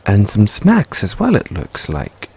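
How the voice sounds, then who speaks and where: Man playing a mellow, doting middle-aged boyfriend. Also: on the low side, husky, heavily acted. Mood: fond amusement. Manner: playful, fond, chuckling between unhurried phrases. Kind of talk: intimate audio roleplay, addressed to the listener. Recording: steady rain noise in background. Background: rain falling.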